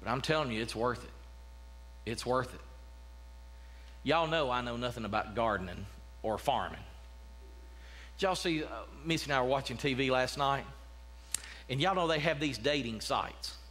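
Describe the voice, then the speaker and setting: A man's voice: medium pitch, moderate pace, pauses often, fairly bright tone, low-quality recording. A man, a pastor preaching a sermon in a church sanctuary, talking conversationally with many restarts and asides. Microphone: podium mic, walking about the platform.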